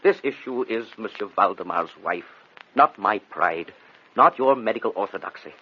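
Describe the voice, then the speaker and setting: An actor playing a proud, disgraced physician obsessed with mesmerism, orating theatrically in an old radio drama style. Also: male voice, lowish voice, lively intonation, moderate pace, breathy edge. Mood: indignant. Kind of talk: vintage radio drama acting. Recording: dull old radio recording.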